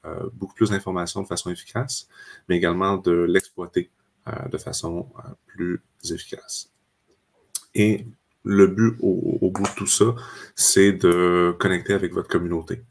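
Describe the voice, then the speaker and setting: A man, presenting software in a webinar and explaining it step by step with frequent fillers. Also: low-pitched. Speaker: customer success manager.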